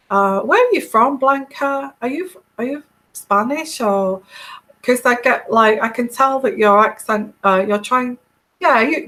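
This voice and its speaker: A woman's voice: on the high side, a bit bright, rough recording. Chatty English woman rambling on a live stream. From England. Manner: rambling, chatty, laughs often, many fillers and restarts. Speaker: live-stream tarot reader. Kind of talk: casual live-stream chat with viewers.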